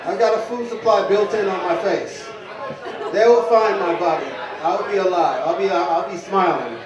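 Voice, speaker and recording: A man doing a stand-up comedy set, ordering the crowd around with quick commands. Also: high voice, roomy sound, distant mic, highs cut off. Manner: addresses the crowd with direct commands. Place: small bar stage.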